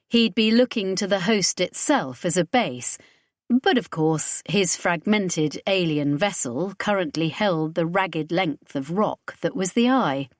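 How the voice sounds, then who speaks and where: A woman's voice: on the low side, no echo, clean studio sound. A woman reading science fiction as a scripted audiobook narration.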